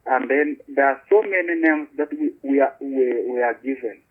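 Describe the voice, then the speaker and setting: A man's voice: medium pitch, moderate pace. A man with a Ugandan accent, earnest, restarting and repeating words as he explains things in an interview. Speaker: community beekeeper guest. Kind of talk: interview over a call. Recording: husky tinny phone-line audio.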